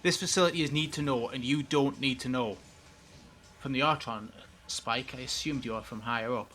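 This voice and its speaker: An actor playing a villainous facility administrator in an audio drama, courteous, condescending and unrepentant. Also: male voice, medium pitch, normal speed, a bit bright, slight background noise, natural voice. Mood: cold condescension. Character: smooth, coldly polite male villainous facility administrator.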